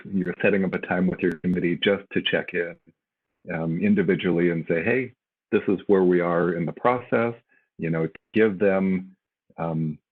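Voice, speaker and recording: A man's voice: low-pitched, slightly rough. A man on a faculty panel, giving casual, hesitant advice with many fillers over a video call. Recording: tinny phone-like line.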